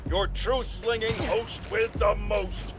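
A man's voice: high voice, steady tone, loud music behind the voice. An actor playing a pompous, blustering radio host with a grudge in a video game, drawing out words like a bombastic showman. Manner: bombastic, drawn-out showman delivery. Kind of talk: parody talk radio acting in a game.

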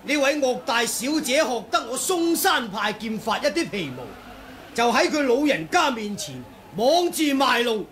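High-pitched fawning Cantonese henchman. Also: big pitch swings, moderate pace, exaggerated voice. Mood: pompous flattery. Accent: Hong Kong Cantonese. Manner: loud, formal proclaiming. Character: fawning, declamatory male sect henchman. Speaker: radio drama actor. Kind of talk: 1980s radio drama acting.

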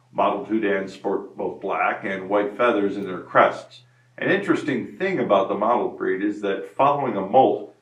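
A man in his fifties hosting a hobby farm channel, reading a scripted breed spotlight in a steady, informative way. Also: lowish voice, reverberant, distant mic, muffled recording. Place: indoor studio set before a wooden barn-wall backdrop. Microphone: lapel mic, medium camera framing.

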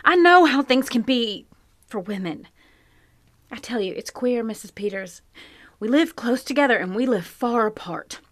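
An earnest, plainspoken farm wife full of regret, as an actress plays her, in a radio play. Her voice is close and dry, and it often sinks to a hushed whisper. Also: fairly high pitch, lively intonation, normal speed, dry recording, lightly acted. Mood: anguished. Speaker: Mrs. Hale, farm wife. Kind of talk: live radio play acting. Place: home closet.

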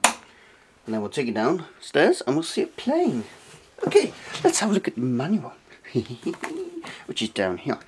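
Lively British man giving a casual tutorial. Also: medium pitch, a little slow, pauses often, a little hiss or hum. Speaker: host of a retro tech review channel. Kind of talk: casual hands-on tutorial and review. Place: small cluttered indoor room at a desk.

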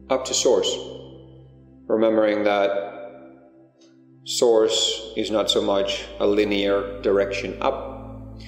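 A man leading a guided meditation, giving unhurried, hushed instructions with pauses between phrases. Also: lowish voice, vocal fry. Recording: long echoing reverb, music underneath. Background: music.

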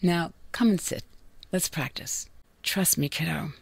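A woman voicing a loving but secretive mother in a video game, gently firm and evasive. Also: low-pitched, a little slow, gravelly, breathy edge, no echo, exaggerated voice. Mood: gentle, firm. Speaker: voice actor.

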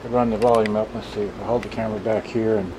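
A man's voice: fairly low pitch, fairly even intonation, fast talker, very noisy. A man muttering in short fragments through a casual, off-the-cuff demonstration, recorded on a camcorder's own microphone. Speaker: vlogger showing vintage electronics. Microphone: handheld camcorder's built-in microphone.

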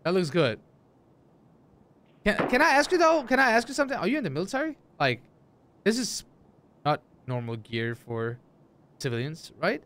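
A man's voice: high voice, sing-song, moderate pace, pauses often, fairly bright tone, a little hiss or hum. A man, chatting casually with stream viewers in slangy, profane, repetitive bursts. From Denmark. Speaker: live game streamer. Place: indoor room, streaming setup. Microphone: close desk microphone, headset worn.

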